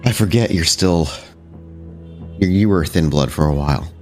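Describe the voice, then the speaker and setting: A man voicing a wary, caring female vampire who speaks in halting, earnest bursts with many restarts. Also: low voice, soft background music, lightly acted. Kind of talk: in-character roleplay dialogue.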